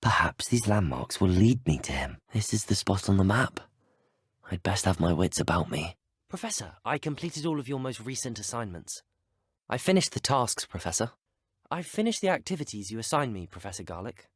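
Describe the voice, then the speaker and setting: A young British student wizard, a courteous and curious game hero. His delivery is articulate and very lively in pitch, with a slightly husky edge, recorded close and dry. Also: normal speed, dry recording. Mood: earnest. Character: polite, inquisitive teenage male wizard student hero. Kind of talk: video game voice lines.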